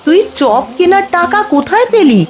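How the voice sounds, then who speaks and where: A woman's voice: very high-pitched, sing-song, normal speed. A woman playing a concerned village wife and mother in a cartoon story, with a homely, anxious way of questioning. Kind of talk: Bengali cartoon story dubbing.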